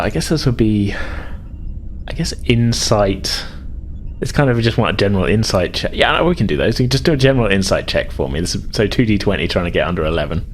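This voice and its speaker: A man acting as game master, narrating a tabletop game casually in a halting, explanatory way. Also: fairly low pitch, a little hiss or hum.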